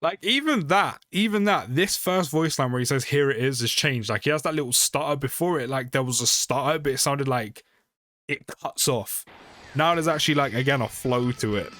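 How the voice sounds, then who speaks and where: A man's voice: mid-range voice, moderate pace, fairly bright tone, a little hiss or hum. A man giving casual game update commentary in a chatty way, often repeating phrases for emphasis. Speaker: gaming video creator. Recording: music behind the voice. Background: music.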